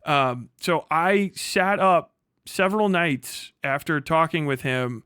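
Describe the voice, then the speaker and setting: Male streamer, higher-than-average pitch, rambling exasperated reaction commentary with plenty of swearing, very dry sound. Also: expressive, slow pace, a bit bright, smooth, no echo. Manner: exasperated, argumentative, emphatic, rambling. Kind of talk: casual reaction commentary, profane.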